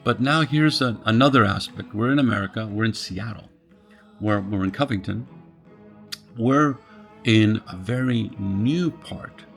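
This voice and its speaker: A man's voice: fairly low pitch, a little slow, vocal fry, fairly bright tone, faint music behind. A middle-aged man from Poland, interviewing in a thoughtful, searching way and often restarting his phrases. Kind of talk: reflective conversation.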